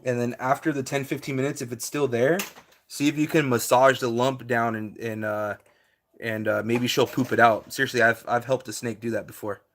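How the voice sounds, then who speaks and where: A man's voice: medium pitch, steady tone, normal speed, fairly bright tone, a little hiss or hum. A man, hosting a casual live stream and answering his viewers' chat in a laid-back way, with lots of fillers.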